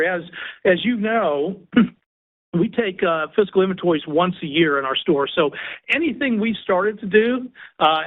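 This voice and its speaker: An older man, a company executive, gives halting, folksy unscripted business remarks on an earnings call. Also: high voice. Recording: thin, narrow-band phone conference line.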